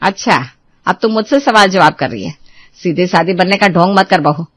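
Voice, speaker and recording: A woman's voice: on the low side, moderate pace, lightly acted. A woman playing an accusing, domineering middle-aged mother-in-law in a melodramatic Hindi family drama, scolding with bitter sarcasm. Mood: accusing, angry.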